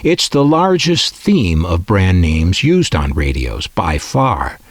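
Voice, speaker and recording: A man narrates in a fairly low voice that moves up and down expressively. He delivers scripted lines with tongue-in-cheek wit, as the host of a collecting video. The sound is close and dry. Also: big pitch swings, slow pace, no room sound. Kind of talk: scripted narration with playful asides.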